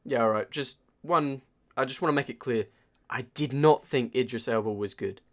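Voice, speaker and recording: A man chatting casually as a podcast co-host reviewing films, excitable and free with swearing like a keen fan. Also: mid-range voice, moderate pace. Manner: profane, excitable fan talk. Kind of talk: casual chat.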